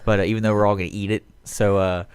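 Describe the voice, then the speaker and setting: A man's voice: fairly low pitch, level tone, rapid-fire, clean tone, no echo. An American man co-hosting a radio show, chatting casually and rambling through anecdotes at a close studio mic. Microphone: close studio microphone.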